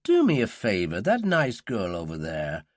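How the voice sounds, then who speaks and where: A man's voice: on the low side, wildly swooping pitch, moderate pace, no room sound, pitched below the performer's own voice. A man voicing a suave, sly upper-class American man with a dry, polite manner. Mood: charming.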